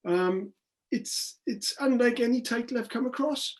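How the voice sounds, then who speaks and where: A man's voice: very high voice, a little slow. An older man interviewing in a casual, chatty style, with a rambling, inquisitive manner.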